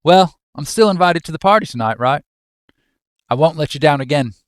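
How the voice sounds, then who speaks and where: A man attempts a square-jawed Australian office mate in a comic drama. He is nosy, matey and teasing, with slangy phrasing, a slightly high voice and a dry recording. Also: animated, fairly quick, no echo, lightly acted. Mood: cheeky, pushy.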